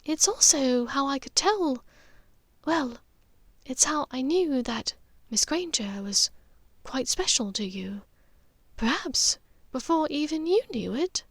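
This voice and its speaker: A high, soft voice of a gentle aristocratic witch mother, put on by a woman narrator. She is knowing and wistful, pausing delicately and sometimes fading toward breathiness. It is an audiobook character in a dry, close recording. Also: animated, no echo, heavily acted.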